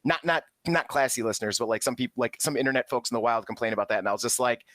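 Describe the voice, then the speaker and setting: A man's voice: mid-range voice, racing, a bit bright, no room sound. A man co-hosting a casual gaming podcast, talking animatedly, swearing casually and stumbling into restarts. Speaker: podcast co-host. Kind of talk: casual chat.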